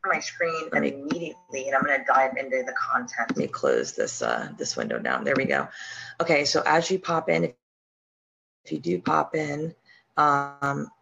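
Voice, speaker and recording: A hoarse woman with a slight sore throat talks casually through a live-stream screen share, with frequent ums and restarts as she explains her steps. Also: rumbling low, lively intonation, normal speed, fairly bright tone, low-quality recording. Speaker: podcast host and online business coach.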